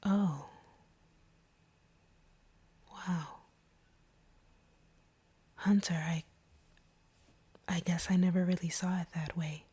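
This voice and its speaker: A woman playing a guarded, wounded vampire in an intimate audio roleplay, speaking softly and haltingly in a breathy near-whisper. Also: deep voice, heavily acted. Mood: thoughtful, softened. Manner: slow, hesitant, halting delivery. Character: guarded, wounded female vampire confessing feelings.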